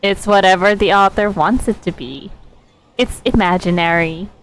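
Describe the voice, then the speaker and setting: A woman's voice: mid-range voice, normal speed, poor audio. A woman giving casual, giggly, chatty live commentary as she streams a game.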